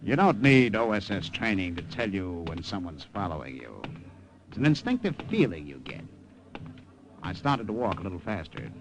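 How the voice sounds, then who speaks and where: An actor playing a nervous American secret agent who narrates the story steadily and stammers and fumbles in scenes, in 1950s radio drama style. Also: male voice, low-pitched, big pitch swings, normal speed, over music. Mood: narrating.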